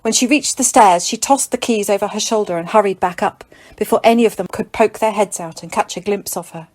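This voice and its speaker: A woman's voice: medium pitch, fairly quick, a little mellow. A woman narrating scripted dramatic fiction as an audiobook reader.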